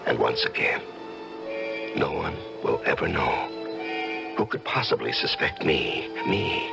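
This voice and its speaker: Secretly murderous genial gentleman, very low gravelly voice, theatrical old-time radio style, music behind. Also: exaggerated voice. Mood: gloating, confessional. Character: outwardly genial, secretly murderous married man, coldly exhilarated by killing.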